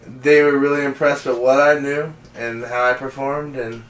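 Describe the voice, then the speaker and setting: A man's voice: medium pitch, slight background noise. A man telling friends about joining a band, in casual chat full of restarts. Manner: excited, halting restarts. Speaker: guest musician. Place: small home den, indoors. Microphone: far camera mic. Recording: echoey room from far off.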